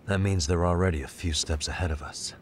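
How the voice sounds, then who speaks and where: An American man playing a sharp, composed detective in a game cutscene, speaking in a measured, deliberate way. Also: sits low, slight background noise, lightly acted. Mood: serious, analytical. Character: sharp, composed male detective investigating a case. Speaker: video game voice actor. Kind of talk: English dub game cutscene dialogue.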